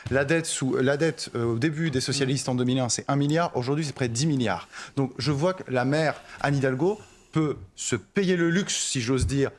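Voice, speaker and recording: A man's voice: mid-range voice, normal speed, a little hiss or hum. A man from Paris arguing politics as a debate panellist, insistent, going point by point and repeating for emphasis. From Paris, France.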